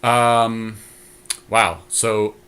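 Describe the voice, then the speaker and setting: A man's voice: on the low side, level tone, extremely slow, bright, forceful tone, smooth. A man co-hosting a podcast, giving casual, laid-back commentary on a card game as he thinks aloud. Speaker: podcast co-host. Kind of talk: casual commentary while playing a card draft.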